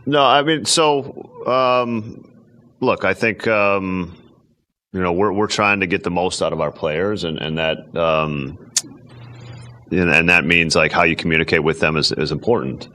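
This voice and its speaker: Low-voiced man answering haltingly. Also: sharp tone. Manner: halting, measured, hedging. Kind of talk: unscripted interview answers.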